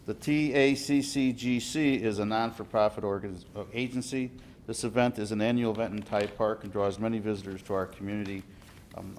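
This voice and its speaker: A man's voice: on the low side, a little mellow, slight background noise. A man serving as deputy liquor commissioner, giving a businesslike report to a village board, with fillers and restarts. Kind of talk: formal report at a public meeting. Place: village board meeting room.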